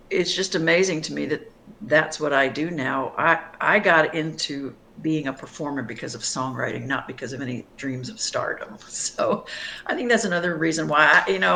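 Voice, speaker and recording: A woman's voice: deep voice, bright, forceful tone. A middle-aged woman singer-songwriter from New York, talking thoughtfully about her music in an interview, often restarting sentences. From Long Island, New York. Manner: thoughtful, reflective, with many restarts. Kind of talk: interview conversation.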